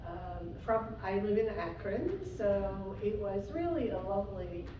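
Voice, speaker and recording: A woman from Syria, a guest preacher, telling a personal story in a sermon with slow pauses and fillers. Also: mid-range voice, expressive, muffled recording, noisy background. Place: church sanctuary. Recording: echoey church from far off.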